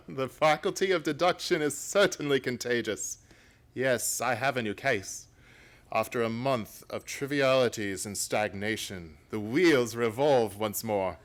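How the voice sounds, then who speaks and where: An actor playing a self-assured gentleman detective in an old-time radio play, formal, articulate and wry. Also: medium pitch, unhurried, close to an everyday voice. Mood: cheerful, wry.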